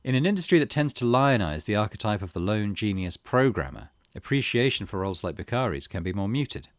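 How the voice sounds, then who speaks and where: Guy doing a lets play read-through, lowish voice, really animated up and down, dry sound. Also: brisk, no room sound. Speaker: video game let's play host. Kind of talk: let's play reading aloud and commentary.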